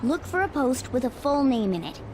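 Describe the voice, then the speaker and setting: A small know-it-all cat mentor from a video game speaks in a high voice. The delivery is commanding and instructive, with clear background music underneath. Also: female voice, big pitch swings, normal speed. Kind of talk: English video game dub voice acting.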